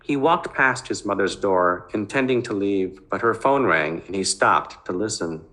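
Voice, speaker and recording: Male fiction narrator: dramatic reading, fairly low pitch, bright and forceful tone. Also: lively intonation, moderate pace.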